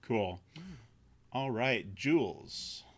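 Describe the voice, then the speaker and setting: A man hosting a casual roundtable chat over a video call, laid-back and quick to laugh. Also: fairly low pitch. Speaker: host.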